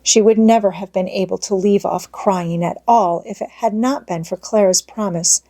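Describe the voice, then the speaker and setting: A woman's voice: medium pitch, steady tone, normal speed, dark tone. A woman reading a children's storybook aloud as an audiobook narrator.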